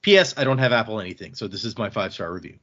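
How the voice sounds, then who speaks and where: A man's voice: fairly low pitch, lively intonation, very fast, fairly bright tone. Man reading aloud on a podcast, slightly dull audio. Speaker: podcast host reading listener emails. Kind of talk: casual chat and reading emails aloud.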